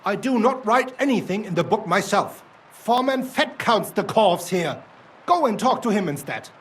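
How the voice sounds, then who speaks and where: A nervous German assayer. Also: male voice, high voice, animated, normal speed, a little hiss or hum. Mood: defensive. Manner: defensive, then frightened and confessing. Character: nervous middle-aged German ore assayer.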